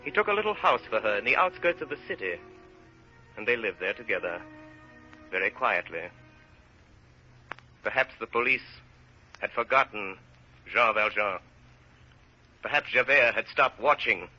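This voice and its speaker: Grave storyteller, forceful bright voice, solemn measured 1930s radio narration, muffled old broadcast. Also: male voice, fairly low pitch, lively intonation, faint music behind. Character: grave storyteller narrating a French period saga.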